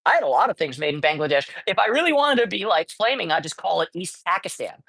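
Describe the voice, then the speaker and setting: A man's voice: high-pitched, sing-song, quick pace, creaky, fairly bright tone. A man holds forth on his livestream in a loose, rambling way. He curses freely and trips over his own sentences, circling back to restart his point. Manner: ranting, profane, rambling with many restarts. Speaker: livestreamer and cat rescuer. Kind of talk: casual livestream chat. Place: cat colony.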